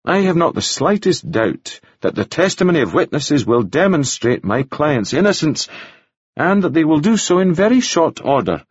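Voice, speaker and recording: A man's voice: on the high side, big pitch swings, moderate pace, breathy edge, no room sound, highs cut off, voice pushed up. A man voicing an earnest male defense lawyer giving formal, rhetorical courtroom oratory.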